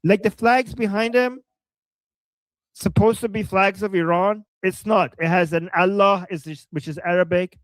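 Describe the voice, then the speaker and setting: A man's voice: sits high, normal speed, no echo, rough recording. A man co-hosting a live stream, ranting with mocking insults as he comments on a video. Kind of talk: casual livestream commentary.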